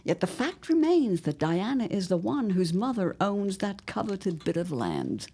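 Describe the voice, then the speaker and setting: A woman's voice: medium pitch, big pitch swings, a little slow, dark tone, breathy edge, heavily acted. A woman playing a shrewd, scheming mother of a grown son in an audio drama, with a grand, theatrical, drawling delivery. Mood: disdainful.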